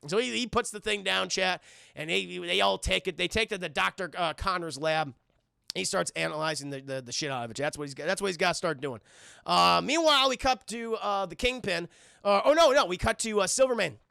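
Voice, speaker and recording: A man streaming an animated, profane recap of a cartoon, scene by scene, for a live chat. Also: high-pitched, a little fast, creaky, clear, resonant tone, no echo, clean studio sound. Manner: profane, acts out characters' dialogue excitedly.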